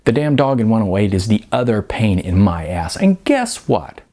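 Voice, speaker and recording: A man's voice: fairly low pitch, very animated, normal speed, warm tone. A man voicing a firm, weary female apartment manager in her late twenties for a novel reading, blunt and no-nonsense. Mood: stern warning.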